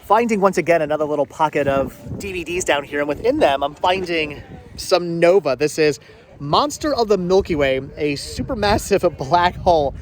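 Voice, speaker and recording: A man's voice: fairly high pitch, lively intonation, moderate pace. A man chatting casually as a vlog host outdoors at a flea market, often repeating and doubling back on his phrases. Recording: noisy outdoor flea market location sound.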